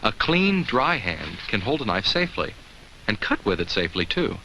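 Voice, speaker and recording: Bright, lively talking jack-o'-lantern. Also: male voice, on the low side, moderate pace. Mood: gently cautioning. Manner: simple, instructive, addresses children with questions and chuckles. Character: talking jack-o'-lantern, cheerful, kindly, safety-minded narrator. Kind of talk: 1980s educational film narration for children. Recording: faintly noisy old educational film soundtrack.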